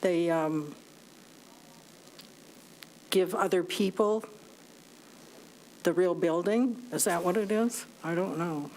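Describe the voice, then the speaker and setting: A woman with a soft voice speaking at a podium microphone during public comment, halting and full of fillers. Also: on the low side, rough recording. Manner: hesitant, halting with many fillers. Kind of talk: public comment at a city meeting. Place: city meeting chamber.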